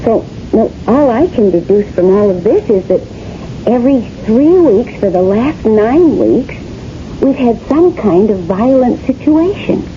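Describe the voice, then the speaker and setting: An actress playing a helpful, hesitant female museum employee in a radio drama, halting and repeating her words. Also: fairly high pitch, big pitch swings. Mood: earnest. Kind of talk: 1970s radio drama acting. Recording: dull, noisy 1970s radio recording.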